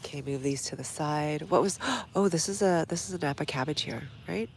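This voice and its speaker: A low-voiced woman with a stuffy nose, chatting casually to viewers while she works in her outdoor garden. She reads comments aloud and talks through each step as she goes. Faint outdoor ambience sits under her phone-camera audio. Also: fairly quick, slight background noise. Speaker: gardening livestream host.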